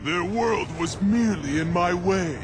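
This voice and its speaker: A man's voice: high voice, measured pace, over music. An actor playing a cold, contemptuous male cosmic villain in a video game cutscene. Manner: contemptuous, imperious.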